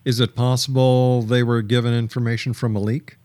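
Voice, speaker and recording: A man's voice: mid-range voice, flat delivery, a little fast, darkish tone, no echo. Male radio host with a dry studio sound. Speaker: radio show host and interviewer. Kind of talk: radio interview.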